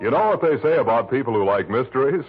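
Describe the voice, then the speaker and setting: A man's voice: mid-range voice, expressive, quick pace, music in the background. A man announcing in 1940s radio style, reading scripted copy with polished, emphatic salesmanship. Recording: dull, worn 1940s radio recording.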